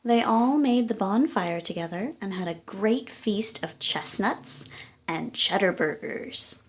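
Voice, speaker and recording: A woman reading aloud for children and parents, in an expressive, deliberate storytelling manner. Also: mid-range voice. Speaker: storybook reader.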